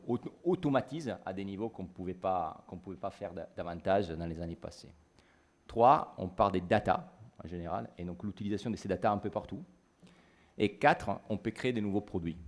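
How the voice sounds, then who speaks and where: A man in his forties speaks French with a clear Italian accent, his voice sitting very low. He talks through his points on a conference panel in a university lecture hall, thoughtful and plain, correcting himself as he goes. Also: a little mellow.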